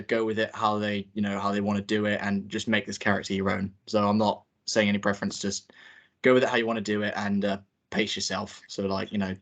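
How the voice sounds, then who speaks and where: A man's voice: fairly low pitch, a bit bright. A young man informally directing a table read and narrating stage directions, a little hesitant. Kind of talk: informal hosting and script narration.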